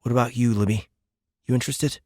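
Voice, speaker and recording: A man's voice: medium pitch, fairly even intonation, fairly quick, darkish tone, no room sound, studio quality, close to an everyday voice. A man voicing a weary, protective teenage boy who reassures younger kids in a science fiction audiobook. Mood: half-hearted, kindly.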